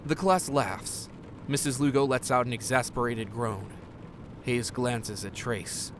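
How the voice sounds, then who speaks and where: A man's voice: mid-range voice, measured pace, background noise. A man reading scripted scene narration for an audio drama.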